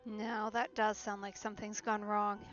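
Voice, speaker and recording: A woman's voice: mid-range voice, level tone, moderate pace, fairly bright tone. A woman giving casual, dryly sarcastic commentary as she streams a video game. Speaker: live streamer reacting to a game. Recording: slightly muffled, game music behind.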